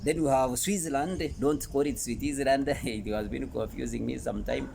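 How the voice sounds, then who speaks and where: A man with a Ugandan accent presenting an educational talk in an emphatic, halting way, often repeating phrases. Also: mid-range voice, fairly even intonation, gravelly, a little mellow. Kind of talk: informal educational presentation to camera. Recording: noticeable background noise.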